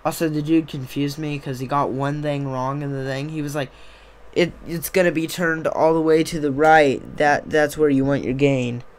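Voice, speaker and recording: A young man, slightly hoarse, chatting casually on a live stream into a desk mic on a boom arm, rambling with many fillers. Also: fairly even intonation, normal speed. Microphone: desktop USB mic on a boom arm.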